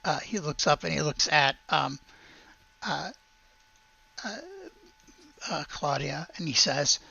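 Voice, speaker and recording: A man narrating a tabletop roleplaying game as game master, halting as he searches for words. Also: fairly high pitch, creaky, pushed and bright, slightly rough, dull audio.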